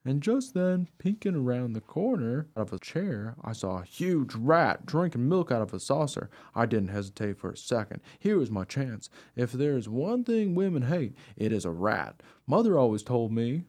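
An earnest, naive dog narrates his life in a radio play, acted by a man. His mid-pitched voice is mellow and rises and falls freely as he tells the story. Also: big pitch swings, normal speed, few pauses, close to an everyday voice. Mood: rueful.

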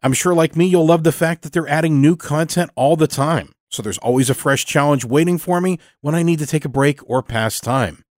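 A man reading scripted announcements for a show, with deliberate, measured phrasing. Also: on the high side, no echo, studio quality. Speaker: show announcer and sponsor reader. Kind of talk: scripted narration.

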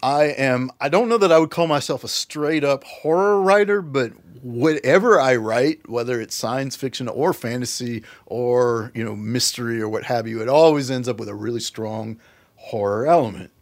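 A man's voice: mid-range voice, moderate pace. A man speaking conversationally as an author being interviewed about his work. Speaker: interview guest, horror and fantasy author.

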